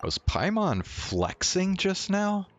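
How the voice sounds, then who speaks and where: A game streamer giving casual, hesitant commentary on a playthrough, with drawn-out reactions. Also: medium pitch, big pitch swings. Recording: game music behind the voice. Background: game music.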